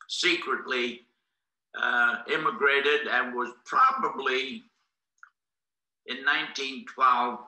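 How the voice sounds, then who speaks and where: An elderly man from Boston, a native Greek speaker, tells his life history at a very unhurried pace. His voice is strikingly sharp and forceful, with formal, halting phrasing. The room around him rings with echo. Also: medium pitch. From Boston, United States. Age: 90s. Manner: deliberate, halting, formal storytelling with long pauses. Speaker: interviewee, war veteran. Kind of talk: recounting life history in an interview. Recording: echoey room.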